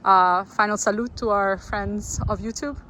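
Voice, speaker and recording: Bright, upbeat woman vlogger on a boat. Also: medium pitch, steady tone, a little hiss or hum. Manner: upbeat, laughing, quick friendly questions. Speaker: travel vlogger interviewing shipmates. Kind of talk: casual on-camera interviews. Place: sailboat at sea.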